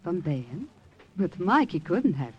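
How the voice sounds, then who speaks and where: An actress playing an earnest, devoted young girlfriend in a vintage radio drama, with a gently pleading manner. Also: fairly low pitch, very animated, slow, slightly rough, highs cut off, full character voice. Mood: puzzled. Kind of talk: 1950s radio drama acting.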